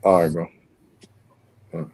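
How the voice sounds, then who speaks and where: A man's voice: normal speed, a little mellow. A man in his forties hosting a casual live stream, rambling in slang and calling people bro. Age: 40s.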